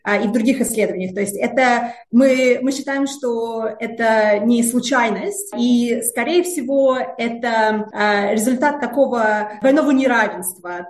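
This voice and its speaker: A woman researcher answering interview questions in a hesitant way, with many filler sounds and repeated words. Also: on the high side. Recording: roomy echo.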